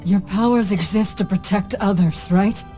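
A woman's voice: medium pitch, normal speed, a little mellow, soft background music, close to an everyday voice. An actress playing a tough, resolute young woman warrior in a video game, blunt and weary. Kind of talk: dramatic video game voice acting.

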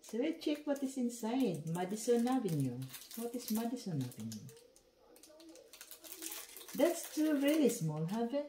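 A woman's voice: fairly high pitch, sing-song, unhurried, pauses often, dark tone. Filipina-sounding lady unboxing stuff, giggly broken english, kinda echoey home room, faint hiss. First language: Tagalog. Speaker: vlogger. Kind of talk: casual unboxing chat.

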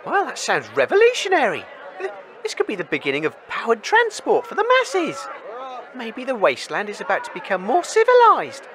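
A man's voice: very high voice, brisk, full character voice. A man playing a bumbling, flustered roving reporter in a comedic radio drama. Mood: flustered, curious. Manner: flustered, hesitant, excitable. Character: excitable, bumbling male wasteland roving reporter. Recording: outdoor crowd ambience, faint noise.

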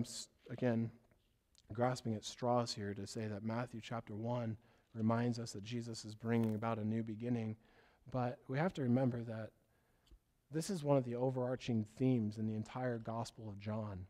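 A man preaching a sermon as pastor, hoarse from a cough, with a scratchy voice and an earnest, rambling way of talking. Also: mid-range voice, fairly even intonation, normal speed, warm tone. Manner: earnest, loses his train of thought and apologizes.

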